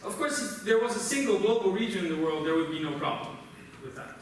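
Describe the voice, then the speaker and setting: A man with a Brazilian accent, a native Portuguese speaker, gives a technical conference lecture in a halting, explanatory way. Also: sits high, animated. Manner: halting, explanatory, often checks with "right?". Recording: roomy, noisy conference hall, distant mic.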